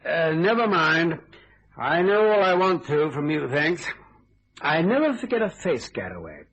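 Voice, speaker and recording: An actor playing a brash, sardonic police inspector in a radio thriller, clipped and commanding. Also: male voice, a little high, big pitch swings, a little slow, fairly bright tone, husky, exaggerated voice.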